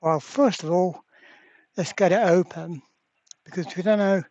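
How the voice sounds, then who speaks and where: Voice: medium pitch, measured pace, a little mellow, no room sound, highs cut off. A repair vlogger giving casual, hands-on narration, thinking aloud in a blunt, exasperated way. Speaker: host of a radio repair channel.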